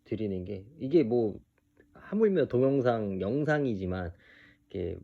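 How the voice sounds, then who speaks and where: A man in his early thirties giving an unscripted personal monologue, speaking haltingly with hesitant pauses. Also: lowish voice, very animated, creaky, phone-quality sound. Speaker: video creator telling his own story.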